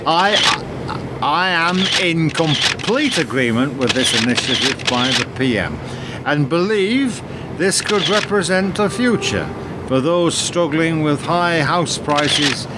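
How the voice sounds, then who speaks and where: A man playing a formal, measured politician giving an official speech in a radio play. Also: a little high, expressive. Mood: formal, assured. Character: formal male politician announcing a government relocation scheme. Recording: very noisy recording with clicks.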